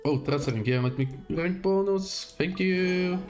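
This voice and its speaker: A man's voice: high voice, a little slow, soft background music. A man giving casual live gameplay commentary, muttering to himself in short fragments. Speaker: game livestreamer.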